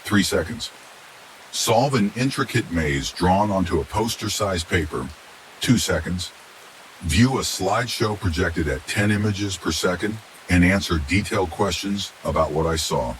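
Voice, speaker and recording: A man narrates a first-person horror story in a low-pitched voice. It is a scripted reading, delivered as the storyteller. A clear hiss sits behind his voice. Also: expressive, normal speed.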